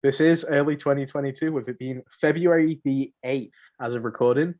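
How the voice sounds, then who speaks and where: A man's voice: medium pitch, steady tone, a little fast, darkish tone, dull audio. Rambling, joking young man on a podcast. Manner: joking, rambling, slangy, repeats himself. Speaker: podcast co-host. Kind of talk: casual banter.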